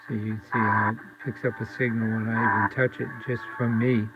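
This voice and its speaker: A man giving a casual, halting, matter-of-fact hands-on demonstration as a radio repairer. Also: lowish voice, little pitch movement, over loud music. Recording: muffled, very noisy, radio static and hum. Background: antique radio static and interference.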